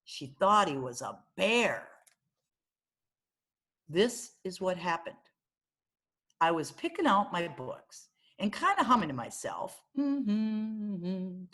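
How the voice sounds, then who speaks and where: A woman reading a children's novel aloud as storyteller, in an expressive narrating style. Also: mid-range voice, slow, slightly rough.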